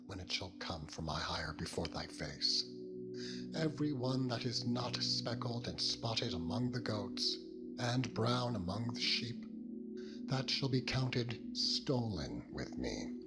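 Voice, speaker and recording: A man's voice: on the low side, big pitch swings, unhurried, voice pushed up. Man playing firm, raised-voice biblical herdsman Jacob over music. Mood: firm, resolute. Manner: firm, declamatory, archaic phrasing. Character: Jacob, proud aggrieved herdsman, a grown man. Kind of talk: dramatized scripture reading.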